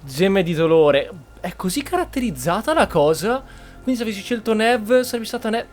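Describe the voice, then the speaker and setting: Gaming host, male, fairly high voice, casual in-character commentary, game score quietly behind. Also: very animated, a little fast. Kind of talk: casual roleplay commentary on gameplay. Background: game music.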